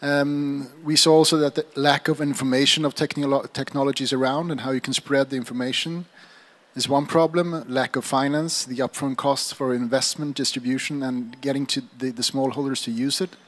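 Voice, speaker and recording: A man giving an impromptu summary to a room, measured and halting, often restarting his phrases. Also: mid-range voice, fairly even intonation, a bit bright. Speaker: government agency staffer. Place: live event room.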